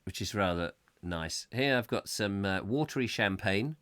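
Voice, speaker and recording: A slightly hoarse man hosting a comic live chat show, rambling whimsically and mocking himself as he reads out viewer comments. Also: fairly low pitch, animated, moderate pace, a bit bright, no echo. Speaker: host.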